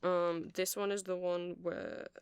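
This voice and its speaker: A woman's voice: on the low side, flat delivery, a little slow, smooth. A woman giving a rambling, chatty ASMR demonstration, explaining things step by step. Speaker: ASMR creator.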